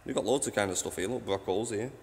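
A man who cleans a train station, giving a casual walking tour on his phone, chatty and rambling, with a deep voice. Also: rapid-fire. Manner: chatty, rambling, says "you know what I mean". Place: old train station toilets and platforms at night. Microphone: handheld phone.

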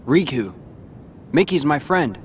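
A man's voice: a little high, very animated, slow pace, background noise. A young man playing a guarded, brave teenage hero with a dry, understated delivery in a game cutscene. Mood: concerned. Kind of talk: scripted video game cutscene acting.

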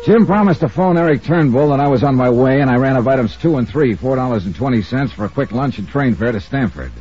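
An actor playing a brisk, wry insurance investigator in a 1950s radio detective drama, narrating crisply in the first person. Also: male voice, mid-range voice, background noise, close to an everyday voice. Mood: brisk narration. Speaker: narrator and lead of a detective serial. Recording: muffled old radio recording with music.

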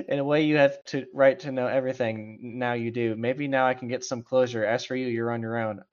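A man reads a story aloud in a casual, conversational way at a middling pitch. He drops into sarcastic, mocking asides with some swearing. The recording is slightly muffled and lo-fi. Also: fairly even intonation, moderate pace. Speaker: co-host reading a story aloud with running commentary. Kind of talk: casual riffing read-aloud.

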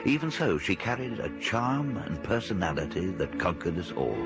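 A space crewman recounts his mission in measured radio-drama narration. His fairly low voice moves expressively, with music behind it. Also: big pitch swings. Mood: reflective.

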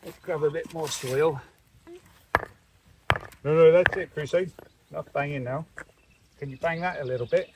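A man's voice: fairly high pitch, moderate pace, pauses often, a little mellow, distant mic. A middle-aged man chatting casually and encouragingly while he works outdoors in a garden, picked up by a camera a few metres away. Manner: patient, encouraging. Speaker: father doing garden work, vlogger. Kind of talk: casual chat while working. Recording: outdoor noise, off-mic, handling clicks.

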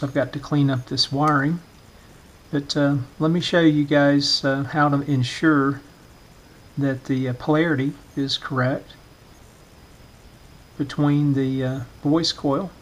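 A middle-aged or older man narrating a hands-on demonstration in a measured, explanatory way, often pausing mid-phrase. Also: fairly high pitch, poor audio. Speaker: vintage radio restorer giving a demonstration.

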